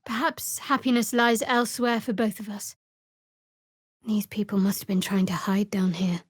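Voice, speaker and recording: An actress playing a guarded, dryly sardonic young woman cleric of a dark goddess in a fantasy video game. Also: medium pitch, fairly even intonation, moderate pace, breathy edge, dry recording, studio quality, close to an everyday voice. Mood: hushed.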